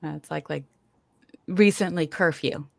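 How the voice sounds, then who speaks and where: A woman's voice: sits low, unhurried, creaky. A woman hosting an interview in a casual, chatty way, laughing easily and restarting her sentences as she talks. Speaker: podcast host.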